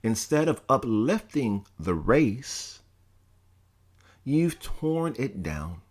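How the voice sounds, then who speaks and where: A man's voice: medium pitch, big pitch swings, drawn-out words, heavily acted. A man voicing a domineering older male college president in an audiobook, scolding and contemptuous in tone. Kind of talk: audiobook character voice.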